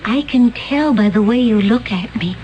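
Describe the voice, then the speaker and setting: An actress playing a calm, mysterious woman from another planet, speaking in a solemn, measured way in a 1970s radio drama. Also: fairly high pitch, highs cut off, soft background music, close to an everyday voice.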